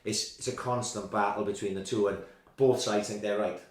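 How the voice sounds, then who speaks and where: A man's voice: fairly low pitch, steady tone, normal speed. A man, co-hosting a podcast and musing hesitantly, often playing devil's advocate. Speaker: podcast co-host. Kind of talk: casual chat. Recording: echoey room.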